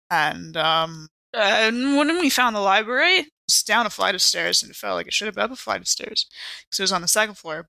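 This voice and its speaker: A woman playing a wry, exasperated survivor in an improvised horror audio drama, with a halting, sardonic delivery. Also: medium pitch, gravelly, bright, forceful tone, no room sound, rough recording.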